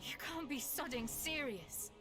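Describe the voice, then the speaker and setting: Blunt, tough fighter. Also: female voice, high voice, expressive, unhurried, music in the background. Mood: indignant. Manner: blunt, terse. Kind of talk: video game voice acting.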